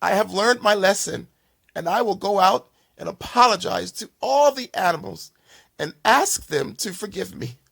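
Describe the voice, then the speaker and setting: A humbled young storybook bull, acted by a man, pleads in a raised, swooping voice. He sounds frightened and earnest, with some roughness in the tone. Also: high-pitched, lively intonation, moderate pace, fairly bright tone, pitched above the performer's own voice, full character voice. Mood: remorseful.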